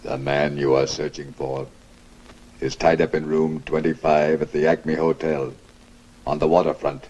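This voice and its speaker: An actor playing a mysterious masked crime fighter in a 1940s film serial, speaking slowly and ominously in a deep voice. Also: male voice, level tone, a little mellow. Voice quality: very deep, deliberate. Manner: slow, ominous. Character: mysterious masked male crime fighter giving a tip by phone. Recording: muffled, noisy old film soundtrack.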